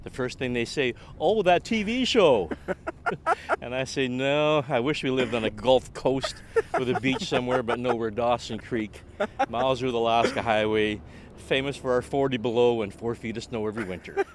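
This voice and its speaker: A Canadian man in his late sixties, a retired shop owner, telling stories about his family business in a relaxed way and laughing at his own jokes. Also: mid-range voice, moderate pace, a little hiss or hum. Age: older. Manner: chuckling, self-deprecating. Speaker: guest. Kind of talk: casual storytelling.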